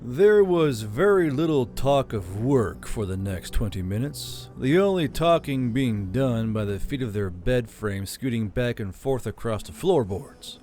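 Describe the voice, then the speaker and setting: A man narrating a fantasy novel in a measured, even storytelling manner. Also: medium pitch, faint music behind. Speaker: audiobook narrator. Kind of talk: scripted fiction narration.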